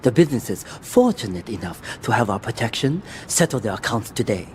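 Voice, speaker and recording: An actor playing a proud, stern Japanese yakuza boss in a video game, speaking formally and haughtily. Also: male voice, lowish voice, very animated, brisk, slight background noise. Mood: stern, commanding. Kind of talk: video game cutscene acting.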